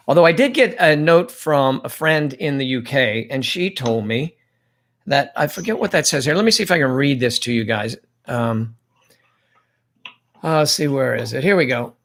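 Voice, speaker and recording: A man's voice: fairly high pitch, fairly bright tone. Chatty man hosting a livestream. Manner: rambling, chatty, reads viewer comments aloud. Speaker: livestream host. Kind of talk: casual livestream chat.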